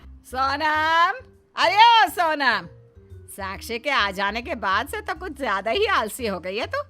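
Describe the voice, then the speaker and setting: Woman acting a shrill, scheming old mother-in-law. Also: big pitch swings, takes it slowly, music in the background, full character voice. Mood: nagging. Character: scolding, scheming, self-pitying older Indian mother-in-law. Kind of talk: comic moral-story acting.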